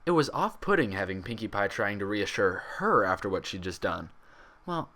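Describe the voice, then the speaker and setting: A man with a lowish voice narrates a story aloud. His reading is dramatic and expressive, moving widely up and down in pitch. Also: brisk. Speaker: fan fiction reader, narrator.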